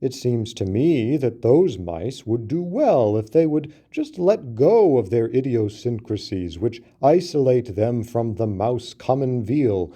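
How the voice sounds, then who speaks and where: Patient, well-meaning elephant, read by a man, slow delivery with a lively pitch.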